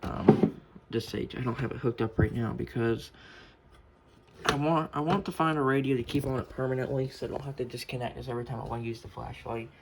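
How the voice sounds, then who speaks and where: Casual male hobbyist, informal and mumbly, creaky voice, unscripted home video with clear background noise and clicks. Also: mid-range voice, steady tone, fairly quick. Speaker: hobbyist showing a weather radio collection. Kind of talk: casual unscripted show-and-tell. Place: room at home. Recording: noisy home recording with clicks.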